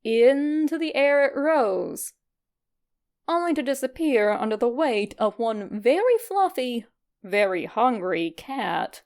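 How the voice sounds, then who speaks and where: A woman reading fan fiction aloud as an expressive, scripted story narration. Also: fairly high pitch, slow pace. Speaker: fan fiction podfic reader.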